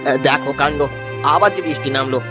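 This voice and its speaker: A man voicing a poor, worried but reassuring village father in a folk-tale cartoon, in an expressive, exclaiming way. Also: fairly high pitch, quick pace, music in the background, natural voice.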